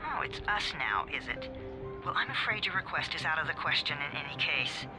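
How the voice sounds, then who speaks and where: A man's voice: a little high, very animated, a little fast, music in the background, poor audio. An actor voicing a cold, condescending male handler in a video game, formal and sardonic. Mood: coldly dismissive.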